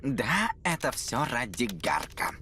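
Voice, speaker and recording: Melodramatic, swooping cartoon character. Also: male voice, medium pitch, very animated, takes it slowly. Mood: defensive, wistful. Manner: theatrical, swooping. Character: melodramatic, self-absorbed male cartoon character in denial. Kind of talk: cartoon dub acting. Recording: music behind.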